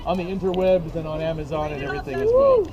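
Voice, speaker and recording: A man reading his own comic novel aloud with a dry, deadpan delivery, outdoors at an event. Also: sits high, fast, highs cut off, a loud crowd. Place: outdoors at a medieval combat event.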